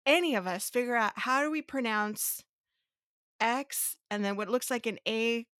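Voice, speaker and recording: A woman's voice: fairly high pitch, normal speed, fairly bright tone, no echo, studio quality. A woman hosting a casual podcast, thinking aloud with restarts and rhetorical questions, a native Spanish speaker. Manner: explains step by step to her co-host. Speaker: podcast host.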